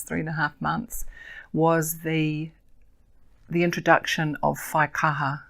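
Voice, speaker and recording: Low, gravelly older New Zealand woman. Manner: earnest and measured, with frequent fillers. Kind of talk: interview answers.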